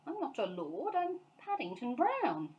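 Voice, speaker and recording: A woman's voice: sits high, huge pitch swings, a little slow, lo-fi sound, a little hiss or hum, voice pushed up, exaggerated voice. A woman voicing an earnest, polite young male bear who is easily puzzled, in a children's storybook. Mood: indignant. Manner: earnest, indignant when challenged.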